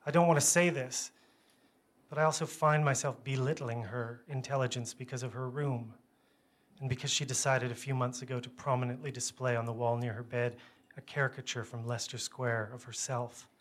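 A man reads a first-person literary story aloud, with measured phrasing and a wry, self-deprecating manner. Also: mid-range voice, fairly even intonation, a bit bright.

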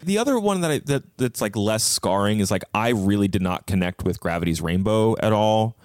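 A man with a low voice talks casually in a very dry recording. He is reflective, qualifying his opinions and working through his thoughts aloud like a podcaster in a book discussion. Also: lively intonation, a little fast, no echo. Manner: reflective, hedging, thinking aloud. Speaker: podcast co-host as guest.

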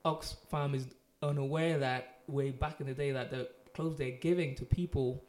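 A man's voice: mid-range voice, fairly even intonation, moderate pace, darkish tone. A man hosting a casual podcast interview, with lots of backchannel and easy conversation. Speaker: podcast host.

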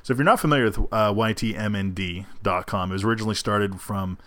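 A man chatting casually and haltingly as a host introducing a reading. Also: fairly low pitch, fairly bright tone, no echo. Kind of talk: casual chat.

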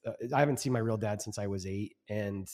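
A man's voice: lowish voice, fairly quick, a little mellow, long reverb tail. A man in his forties, a guest on a podcast, speaking earnestly and reflectively, often repeating words as he starts a sentence. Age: 40s. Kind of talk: casual interview chat.

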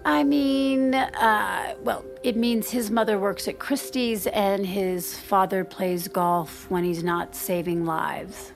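A reserved married mother in a naturalistic audio drama. Her voice carries a frequent fry and an unhurried pace, and she speaks haltingly, as if admitting things she would rather keep private. Faint music sits behind her. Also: medium pitch, steady tone. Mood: wistful. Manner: hesitant, self-deprecating, halting. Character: reserved married mother, confiding secrets over drinks.

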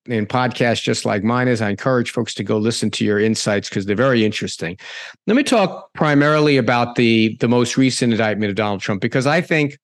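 A man's voice: medium pitch, dry recording, clean studio sound. A middle-aged man hosting a talk podcast, chatty and rambling, often breaking off and restarting mid-sentence. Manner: rambling, self-interrupting, chatty. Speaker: podcast and radio host. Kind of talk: conversational hosting.

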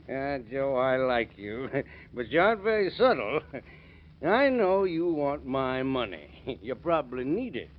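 An actor playing a wry, knowing old uncle in a 1940s radio drama. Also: male voice, mid-range voice, sing-song, takes it slowly. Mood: wryly amused. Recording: old muffled radio recording, faint noise.

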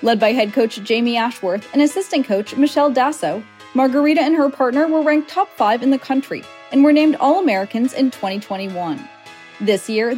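A woman's voice: high-pitched, a little mellow. Woman podcast host, chatty interviewer. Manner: chatty, retells hearsay with quoted asides. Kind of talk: scripted intro then casual interview.

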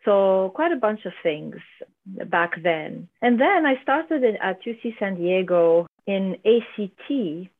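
A woman's voice: mid-range voice, sing-song, a little slow. A middle-aged woman from Lebanon who directs a university IT team. She is a podcast guest and explains things earnestly, step by step. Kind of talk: conversational interview answers. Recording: tinny phone-like line.

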